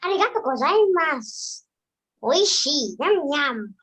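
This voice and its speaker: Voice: high-pitched, sing-song, unhurried, fairly bright tone, exaggerated voice. A performer playing a bossy yet deferential forest monkey in an amateur folktale reading, declaiming theatrically. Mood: grateful.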